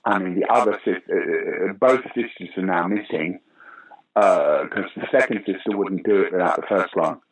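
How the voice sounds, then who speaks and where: A man guest recalling a theatre story in a lively, anecdotal way, with many fillers. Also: sits low, moderate pace, husky, rough recording. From Britain. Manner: animated, acts out quoted lines, frequent fillers. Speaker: interview guest.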